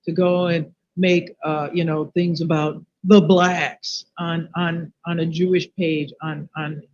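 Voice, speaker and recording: A man's voice: on the high side, flat delivery, slow. A man in a casual discussion, speaking in an earnest, insistent way. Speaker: live stream co-host. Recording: muffled, noisy lo-fi stream audio.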